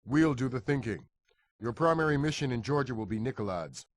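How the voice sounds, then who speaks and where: An actor playing a businesslike, authoritative intelligence chief briefing a field agent in a spy game. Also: male voice, mid-range voice, expressive, a little fast, dry recording, natural voice.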